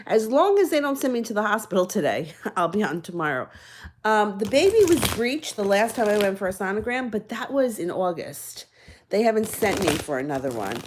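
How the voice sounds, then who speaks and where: A woman's voice: medium pitch, very animated, fairly quick. A woman giving live-stream tarot readings in a casual, chatty, reassuring way, a little out of breath and slightly nasal from congestion. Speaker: tarot card reader.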